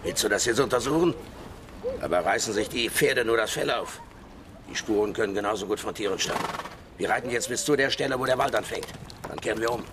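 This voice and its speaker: An actor playing an impatient, dismissive mounted soldier on a search, in a German children's radio drama. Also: male voice, lowish voice, fast talker, natural voice. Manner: brusque, dismissive. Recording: clear outdoor sound-effect noise. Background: horses and outdoor ambience.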